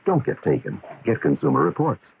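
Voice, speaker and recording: A man delivering a scripted advertising pitch as a vintage radio commercial announcer. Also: big pitch swings, moderate pace, vocal fry. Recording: dull noisy vintage radio broadcast.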